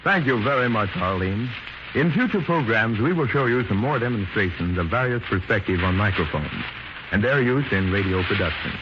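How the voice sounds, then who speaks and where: A man's voice: lowish voice, expressive, moderate pace. A man presenting as a 1930s radio announcer in a formal, precise, instructive manner. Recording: noisy muffled 1930s radio recording.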